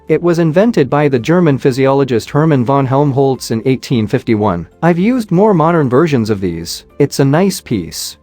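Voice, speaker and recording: A man giving measured, scripted narration, reading questions and answers evenly with no fillers. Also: mid-range voice, a little mellow.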